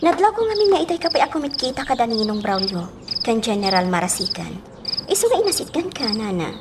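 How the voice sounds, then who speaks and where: A puzzled young lady of the house in an Ilocano radio drama. Her voice is high and lively, lifting into questioning exclamations. Loud noise runs behind her. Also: quick pace. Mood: puzzled, anxious.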